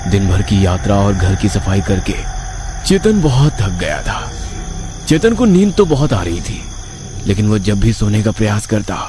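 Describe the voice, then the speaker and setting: A man reading a scripted horror story as its narrator. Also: on the low side.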